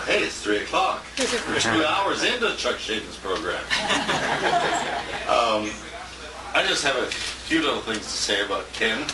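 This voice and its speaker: An older American man gives a joking, off-the-cuff speech with a wry, rambling manner and very lively intonation. He is recorded from a distance on a handheld camera, with heavy noise all around. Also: medium pitch. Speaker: radio announcer giving a tribute. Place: restaurant banquet room. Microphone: distant handheld compact camera. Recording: very noisy, echoey handheld camera from afar.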